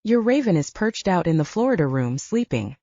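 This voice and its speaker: A woman voicing a hospitable, matter-of-fact female animal keeper in an audiobook, brisk and practical. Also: lowish voice, big pitch swings, dark tone, no room sound. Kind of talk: audiobook character dialogue. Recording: dull, lo-fi, narrow sound.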